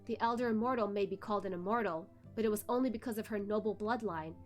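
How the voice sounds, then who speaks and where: Female narrator, mid-pitched, dramatic fantasy web-novel read-aloud with soft music underneath. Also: fast talker.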